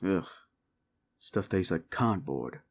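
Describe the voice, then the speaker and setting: A man voicing a gruff, seasoned demon monster hunter in an action-horror audio roleplay, with a gravelly voice and a wry, laconic, protective manner. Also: low voice, lively intonation, slow pace, full character voice. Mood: wry, relaxed.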